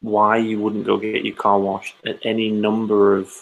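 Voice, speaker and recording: A man's voice: fairly low pitch, completely flat delivery, moderate pace, vocal fry, highs cut off. A man in casual chat, telling a long story in a dry, teasing way. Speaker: co-host. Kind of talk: casual chat over a call.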